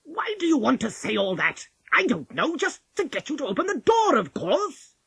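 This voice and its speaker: A woman's voice: medium pitch, sing-song, a little slow, fairly bright tone, breathy edge, no echo, studio quality, voice pushed up, exaggerated voice. A woman as a harsh, blustering storybook wolf. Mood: cross, impatient. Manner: blustering, plaintive, emphatic. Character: scheming, gullible, easily exasperated male storybook wolf.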